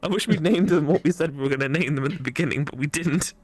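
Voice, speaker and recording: A man with a fairly high voice joins a gaming video as co-commentator. He chats casually and rants in playful mock indignation about what is on screen. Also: quick pace, slightly rough.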